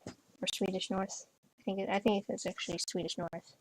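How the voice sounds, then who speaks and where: A woman's voice: mid-range voice, flat delivery, normal speed, a little hiss or hum, poor audio. A young woman chatting casually while playing a horse game, rambling with lots of fillers and restarts. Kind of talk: casual gameplay chat. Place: bedroom, on a bed.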